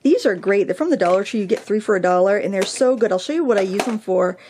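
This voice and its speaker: A woman's voice: mid-range voice, lively intonation, fast talker, darkish tone, slight background noise. A woman with a Maine accent chatting casually as a craft vlogger while she sorts her art room, filmed on a handheld camera. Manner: rambling, self-deprecating, many ums and likes. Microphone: handheld camera mic.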